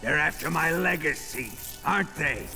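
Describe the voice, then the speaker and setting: An actor playing a sadistic, menacing middle-aged Soviet interrogator in a video game, with a theatrical, taunting manner and a gravelly voice. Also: male voice, a little high, lively intonation, normal speed, over loud music, close to an everyday voice. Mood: demanding, cruel. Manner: theatrical, taunting, swinging from hushed purr to bellowing. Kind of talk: dramatic video game acting.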